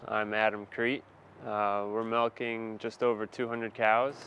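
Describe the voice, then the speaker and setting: An American man, a dairy farmer, plainly introducing his farm operation in a slightly halting, matter-of-fact way. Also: fairly low pitch, little pitch movement, a bit bright, muffled recording. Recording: clear ambient farm noise behind.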